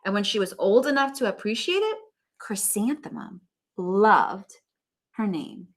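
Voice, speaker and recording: A woman's voice: mid-range voice, unhurried. Woman teacher reading a storybook aloud. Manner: expressive, dramatic emphasis, questioning asides to young listeners. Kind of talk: storybook read-aloud with asides to children.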